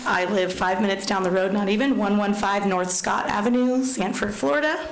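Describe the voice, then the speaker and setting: Woman, school principal, loud voice, reading a letter at public comment; roomy, echoey chamber. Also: mid-range voice, brisk. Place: county commission chamber. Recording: echoey chamber with faint hum.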